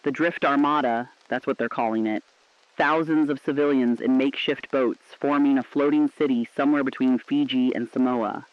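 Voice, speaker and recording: A man's voice: mid-range voice, fairly even intonation, moderate pace, no echo, natural voice. Man acting earnest underground radio correspondent, poetic, dramatic, defiant, dry close sound, slightly dull recording, radio drama. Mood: somber, hopeful. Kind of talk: solemn scripted fictional radio broadcast.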